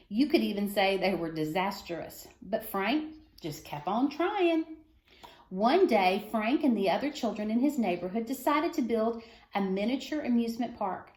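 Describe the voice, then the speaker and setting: A woman's voice: mid-range voice, darkish tone, reverberant. A woman reading a children's story aloud for schoolchildren, phrasing expressively and stressing key words. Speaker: reader of a children's book.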